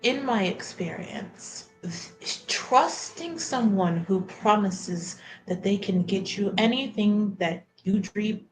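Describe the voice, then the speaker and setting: A woman playing a tabletop roleplaying game, talking in a hesitant, halting way as she searches for words. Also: mid-range voice, low-quality recording. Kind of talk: unscripted in-character roleplay and table talk.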